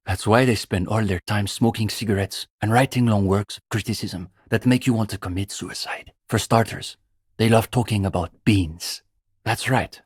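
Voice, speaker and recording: A man's voice: fairly low pitch, slightly rough, dry recording, studio quality. An actor playing an earnest young male student communist in a video game, intellectual, opinionated and dryly pedantic. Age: young adult. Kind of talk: video game dialogue voice acting.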